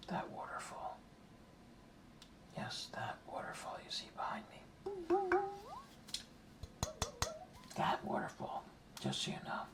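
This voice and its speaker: A man voicing an earnest, welcoming dart frog guardian of the rainforest in a hushed, breathy ASMR roleplay, speaking slowly and deliberately. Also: very high voice, distant mic, exaggerated voice. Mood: reverent, wondering. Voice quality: breathy whisper. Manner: slow, hushed, deliberate, repeating words.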